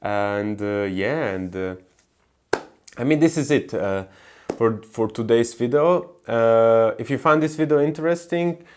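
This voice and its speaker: A young man from Croatia with a Croatian accent, talking casually to camera about gardening, halting often with fillers and restarts. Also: medium pitch, slight background noise. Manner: hesitant, many fillers and restarts. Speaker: gardening vlogger.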